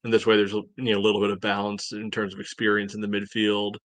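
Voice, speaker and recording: Male co-host, very even delivery, dry close sound, halting off-the-cuff sports analysis. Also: lowish voice, no echo. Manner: halting, thinking aloud, heavy on "you know". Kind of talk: casual sports analysis chat.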